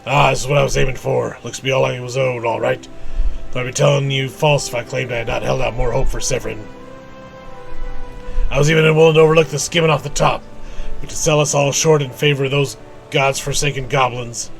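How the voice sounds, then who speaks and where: A man's voice: mid-range voice, fairly even intonation, fairly quick, creaky, faint music behind, close to an everyday voice. A man voicing a grumbling, plain-spoken working man from a fantasy video game, with rustic old-fashioned phrasing and an aggrieved manner. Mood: aggrieved, warning. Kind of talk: reading game quest dialogue in character.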